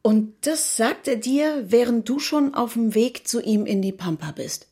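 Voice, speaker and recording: A woman's voice: a little high, expressive, a little slow, warm tone, slightly rough, heavily acted. A woman acting a wary, sardonic city woman in an audio drama, with a naturalistic, dryly ironic delivery. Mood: sardonic, skeptical.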